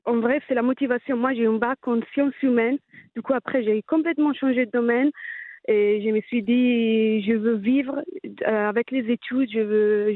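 A woman's voice: a little high, dry recording. A woman in her thirties with an Italian accent, a guest who speaks hesitantly with many fillers and restarts. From Italy. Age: 30s. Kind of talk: casual interview talk. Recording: tinny phone-like line.

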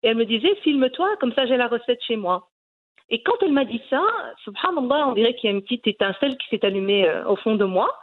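A woman's voice: on the high side, lively intonation, fast talker. Woman with a tinny, phone-like sound. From Belgium. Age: 40s. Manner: earnest, hesitant with many fillers. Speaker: cooking YouTuber, guest. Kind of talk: interview answers.

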